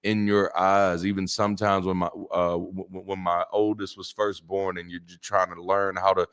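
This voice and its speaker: A middle-aged man with a naturally deep voice, preaching a sermon in a lively, joking way and repeating words for emphasis. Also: normal speed, clean tone. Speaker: pastor.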